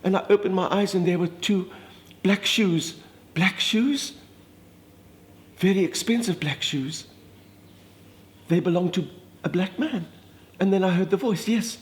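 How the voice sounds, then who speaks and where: Man playing a theatrical older Afrikaans society wife, gushing and dramatic, voice pitched a touch high. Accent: Afrikaans South African. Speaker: comedic monologist. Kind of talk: comic storytelling monologue.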